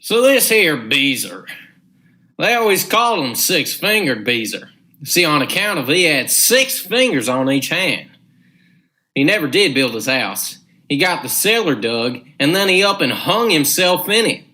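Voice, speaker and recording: Young guy playing a animated, folksy old storyteller. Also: a little high, unhurried, exaggerated voice. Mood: ominous gossip. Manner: rambling, rustic folksy drawl. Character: folksy superstitious old male storyteller, garrulous and emphatic. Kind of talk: old-time radio drama acting.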